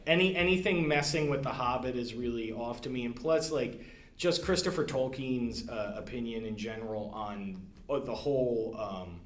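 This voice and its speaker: A man's voice: medium pitch, normal speed, slight background noise. A man chatting casually as a podcast guest, with a hesitant, thoughtful delivery. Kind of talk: casual chat. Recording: roomy, echoey indoor sound.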